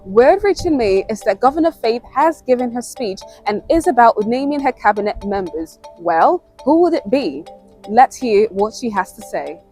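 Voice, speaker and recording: An actress playing a news reporter delivering a bulletin. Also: female voice, a little high, expressive, moderate pace, a little mellow, soft background music, lightly acted. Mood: neutral.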